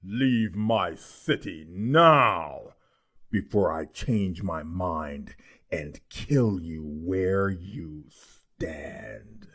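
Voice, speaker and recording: Husky, very slow demonic Satan. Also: male voice, deep voice, animated, fairly bright tone, heavily acted. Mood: menacing. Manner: slow, imperious, threatening. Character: menacing, scornful Satan, commanding and cruel. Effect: digitally manipulated demonic voice. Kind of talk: theatrical stage villain.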